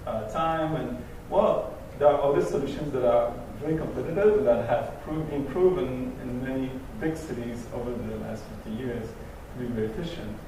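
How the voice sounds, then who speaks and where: A man from Belgium, a native French speaker with a French-tinged accent, talking on a panel with halting restarts. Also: mid-range voice, far from the mic. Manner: halting, many restarts and fillers. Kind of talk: panel discussion. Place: event venue. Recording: echoey hall from afar, noisy.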